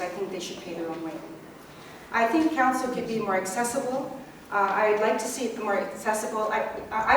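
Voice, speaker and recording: A middle-aged woman with a Canadian accent speaks as a local election candidate at a public meeting. Her delivery is conversational and a little halting, at an average pitch. The room is heavily echoing and she sounds distant from the microphone, with faint noise in the recording. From rural Canada. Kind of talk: unscripted campaign speech at a public debate.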